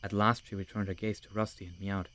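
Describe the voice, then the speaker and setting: Male narrator for an animal adventure audiobook, fairly low pitch, scripted storytelling read. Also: little pitch movement, fast, a bit bright.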